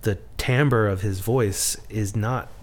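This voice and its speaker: A man co-hosting a podcast in casual chat, rambling and self-deprecating with halting restarts. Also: lowish voice. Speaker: podcast co-host.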